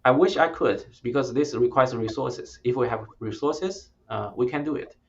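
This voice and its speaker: A man's voice: mid-range voice, moderate pace, muffled recording, slight background noise. A man who is not a native English speaker, a startup founder explaining his product in an interview, speaking plainly with hesitant restarts. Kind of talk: interview conversation.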